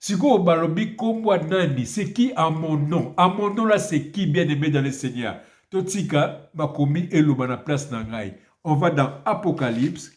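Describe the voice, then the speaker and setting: A man preaches in a somewhat high voice and sounds stuffed up from a cold. He delivers his sermon emphatically and keeps asking the listener questions. The room around him echoes. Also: animated, normal speed, darkish tone. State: has a cold. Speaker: priest. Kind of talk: video sermon with prayer and Bible reading.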